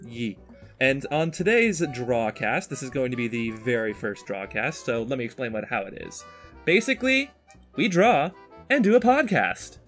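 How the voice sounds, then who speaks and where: A young man hosting a casual podcast in an animated, teasing way, bantering while drawing. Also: mid-range voice, moderate pace, faint music behind. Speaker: podcast host.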